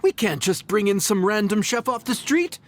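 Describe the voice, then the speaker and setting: An actor playing a proud, stubborn inn chef in a video game, flustered and defensive, with hesitant stammers. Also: male voice, high voice, very animated, normal speed. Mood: stubborn, worried. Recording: music playing behind the voice.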